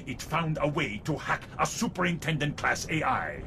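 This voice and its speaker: An actor playing a smug, murderous middle-aged police commissioner in a sci-fi audio drama, unctuous and menacing. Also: male voice, a little high, very animated, moderate pace. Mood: commanding, grandiose. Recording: video game audio, loud noisy background.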